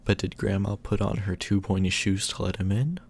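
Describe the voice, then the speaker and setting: A man's voice: deep voice, steady tone, moderate pace, gravelly, darkish tone, husky. A man doing affectionate ASMR bedtime storytelling as a boyfriend, in a soothing manner.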